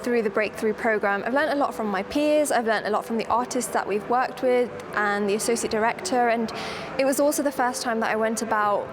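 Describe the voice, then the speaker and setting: A woman's voice: fairly high pitch, a little fast, music in the background. A woman from Leicester with Gujarati as her first language, a poet and performer, speaking reflectively in a documentary interview. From Leicester, England. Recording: noisy background hum.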